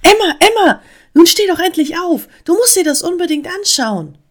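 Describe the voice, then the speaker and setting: Woman voicing an excitable, high-pitched storybook frog. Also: normal speed. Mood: urgent. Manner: insistent, exclaiming, agitated. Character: excitable, urgent male frog friend in children's story.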